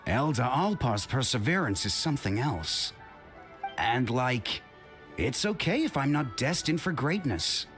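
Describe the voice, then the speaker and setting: An actor playing a modest young balloon operator who speaks softly and hesitantly. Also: male voice, medium pitch, sing-song, takes it slowly. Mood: wistful. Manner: soft-spoken, hesitant. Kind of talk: video game voice acting. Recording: game music underneath.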